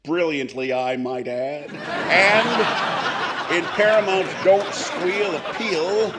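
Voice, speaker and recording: A man's voice: high voice, drawn-out words, very noisy. A man playing a pompous, boozy old movie comedian, grandiloquent with muttered asides, in 1940s radio comedy style. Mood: pompous, oily.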